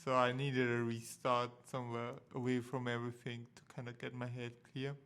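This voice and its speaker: A young Austrian man whose German accent colors every phrase he shapes with care. His voice is smooth and resonant, and he picks his words slowly with a dry wit. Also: mid-range voice, completely flat delivery, a bit bright. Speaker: co-host, husband. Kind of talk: casual storytelling to viewers.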